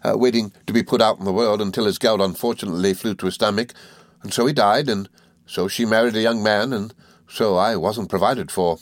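A man voicing a cheerful, good-natured young gentleman law student in an audiobook, eager and flustered, with little stammering hesitations. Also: fairly low pitch, steady tone, a little fast, no echo, studio quality, natural voice. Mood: flustered, mild. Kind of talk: audiobook character dialogue.

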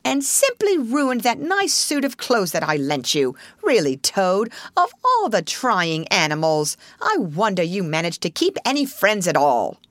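A woman's voice: medium pitch, wildly swooping pitch, unhurried, hardly any pauses, fairly bright tone, dry recording. A woman voicing a good-natured, sensible male rat in a storybook, earnest and fussily proper as a scolding friend. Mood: exasperated.

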